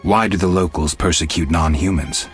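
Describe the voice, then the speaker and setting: An extremely deep-voiced, gruff monster hunter from a fantasy video game. He is stoic and dry, keeping his words short and deadpan, with some music behind him. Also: normal speed. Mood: calm, probing. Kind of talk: video game dialogue acting.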